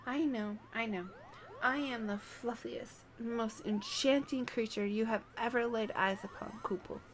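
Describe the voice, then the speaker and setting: Woman playing a vain, fluffy little moogle, slow-spoken. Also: on the high side, natural voice. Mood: smug. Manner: self-admiring, theatrical. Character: vain, adorable fluffy moogle creature, haughty about admirers.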